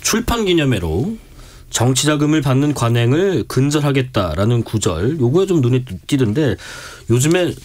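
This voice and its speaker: A man's voice: medium pitch, big pitch swings, normal speed, darkish tone. A man hosting a radio talk show, putting questions to his guests in a conversational style. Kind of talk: conversational interviewing. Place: radio studio.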